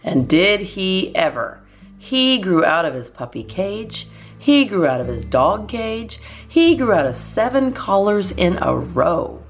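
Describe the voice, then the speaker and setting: A woman reading a children's storybook aloud as a storytime host, expressively and in measured phrases with deliberate pauses. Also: medium pitch, sing-song, faint music behind.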